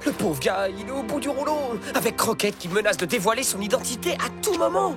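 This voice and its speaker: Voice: mid-range voice, very animated, a little fast, close to an everyday voice. An actor voicing a scheming, bossy cartoon fox, with an urgent, wheedling and dramatic manner. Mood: pleading. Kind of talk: cartoon dub acting. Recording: loud cartoon music behind the voice.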